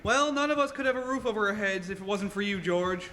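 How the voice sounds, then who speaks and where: Man as exuberant uncle, very high bright voice. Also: quick pace. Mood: jubilant. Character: exuberant uncle bringing good news. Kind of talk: stage radio-play acting. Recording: reverberant hall, faint noise.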